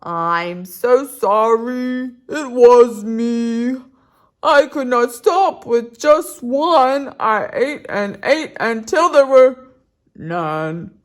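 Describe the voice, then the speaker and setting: Woman doing a slow, sorry storybook toad. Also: on the high side, animated. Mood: remorseful. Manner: slow, apologetic. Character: guilty, sorry grumpy male toad.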